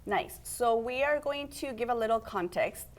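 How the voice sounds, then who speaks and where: A woman's voice: a little high, moderate pace. Upbeat woman hosting a TV interview. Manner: friendly, upbeat, guides the guests with questions. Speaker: host and program organizer. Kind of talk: community TV interview.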